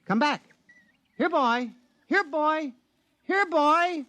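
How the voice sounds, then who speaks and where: An actor playing an anxious, lovelorn young lawyer in a 1950s radio drama, wry and fretful. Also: male voice, extremely high pitch, extremely slow, noisy background, full character voice. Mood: coaxing.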